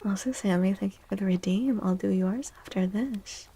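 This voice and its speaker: A woman hosting a soft-spoken ASMR tarot stream, talking gently and breathily, often near a whisper, with drawn-out delivery. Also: medium pitch, slightly rough. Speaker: livestream host giving tarot readings.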